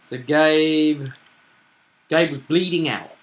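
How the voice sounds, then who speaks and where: A man talking casually as a game master, recapping and explaining a tabletop roleplaying session in a halting, thinking-aloud way. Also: on the high side, fairly bright tone, slight background noise.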